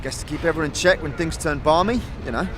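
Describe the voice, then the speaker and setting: Man playing a high-voiced chatty young smith lad. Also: fast, noisy background, natural voice. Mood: easygoing, chatty. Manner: colloquial, hesitant, dialect grammar and slang. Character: friendly chatty young apprentice smith, male. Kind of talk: fantasy audio drama acting.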